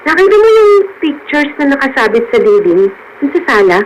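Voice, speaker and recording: Earnest young daughter, faint noise. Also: female voice, high voice, very animated, moderate pace, poor audio. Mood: admiring, hopeful. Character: dutiful, wistful young daughter longing for college. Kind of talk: radio drama acting.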